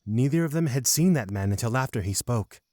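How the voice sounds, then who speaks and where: A man's voice: medium pitch, animated, a little fast, darkish tone, no echo, clean studio sound. A man narrating a scripted fantasy audio drama.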